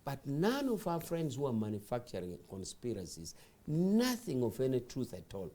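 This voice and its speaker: A man's voice: mid-range voice, wildly swooping pitch, moderate pace, dark tone. A man, a party official on a talk show, defending his side in an insistent, lecturing way. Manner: insistent, lecturing, repeats phrases.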